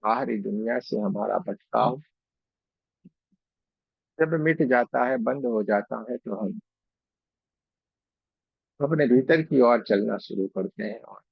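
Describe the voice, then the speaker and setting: Slow, creaky-voiced male meditation guide. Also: mid-range voice, dry recording, dull audio. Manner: gentle, unhurried, devotional, phrase by phrase. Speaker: meditation guide and Reiki teacher. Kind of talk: guided meditation, slow spoken instruction with pauses.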